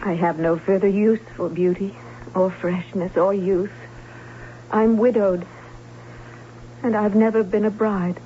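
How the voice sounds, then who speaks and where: An actress playing a docile, sorrowful young noblewoman in an old radio drama, soft, plaintive and formal. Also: mid-range voice, steady tone, unhurried, long pauses, lightly acted. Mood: desolate. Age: young adult. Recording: dull vintage radio recording, faint hum.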